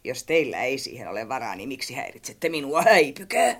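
A man's voice: medium pitch, sing-song, fairly bright tone, voice pushed up. A man voicing a talking cookbook, a pompous, theatrical gourmet snob who is easily offended, in an audiobook story. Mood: indignant, snobbish.

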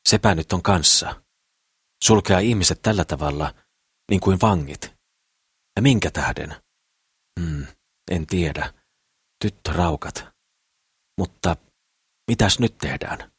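A man's voice: low-pitched, a bit bright, breathy edge, no echo, clean studio sound, heavily acted. A man voicing an eager, boastful, simple builder in love, rambling and slangy, in an audiobook. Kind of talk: audiobook character voice.

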